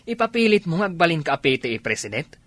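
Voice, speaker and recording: A man's voice: fairly high pitch, very animated, quick pace, a bit bright, dry recording. A man playing an exasperated Ilocano village husband, scolding and nagging with challenging questions in a comedy radio drama. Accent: Filipino, Ilocano.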